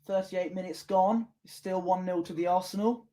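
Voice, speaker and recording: A young man co-commentating on a football match as a fan, excitable and quick to laugh, stretching out his exclamations. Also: high-pitched. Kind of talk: casual watchalong co-commentary.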